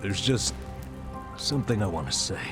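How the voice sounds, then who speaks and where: An actor playing a bitter, world-weary, guilt-ridden man who is gruffly protective, in a blunt, cynical video game performance. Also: fairly low pitch, expressive, moderate pace, music in the background, close to an everyday voice. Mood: subdued. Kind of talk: dramatic video game voice acting.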